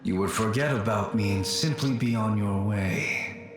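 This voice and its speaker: An actor voicing a solemn, melancholy male warrior spirit in a video game, formal and measured, with echo over music. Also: on the low side. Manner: formal, measured, archaic phrasing. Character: solemn, melancholy ghost of a fallen yaksha warrior.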